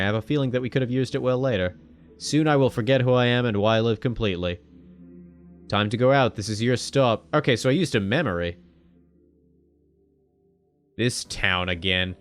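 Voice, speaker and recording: A man hosting a let's-play video, reading a game's text aloud and joking, swearing casually and jumping into sudden loud high-pitched exclamations. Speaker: gaming video host.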